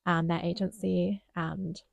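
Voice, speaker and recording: A woman co-hosting a casual dance review, talking thoughtfully with frequent pauses and a slightly croaky voice. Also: on the low side, little pitch movement, dry recording. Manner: thoughtful, hesitant with frequent ums.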